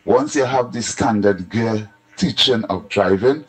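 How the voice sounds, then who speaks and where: A low-voiced Trinidadian man delivers a call-in rant in a voice note. He is insistent and emphatic, hammering the same point again and again. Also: measured pace, rough recording. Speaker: caller in a voice note.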